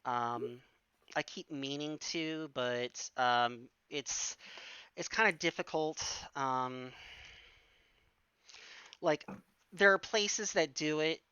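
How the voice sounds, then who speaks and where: A woman in her forties with a bright, crisp voice, talking slowly and haltingly. She fills her pauses with ums and restarts as she chats on a stream. The sound is dry and a little dull. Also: low voice, dry recording. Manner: hesitant, many fillers and restarts. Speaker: stream guest. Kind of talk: casual Q&A chat.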